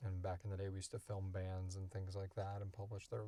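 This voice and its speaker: A man's voice: low voice, completely flat delivery, fairly quick, warm tone, no echo. A man in his thirties hosting a casual podcast Q&A, rambling along in an enthusiastic, jokey way. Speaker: podcast creator and host. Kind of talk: casual Q&A chat.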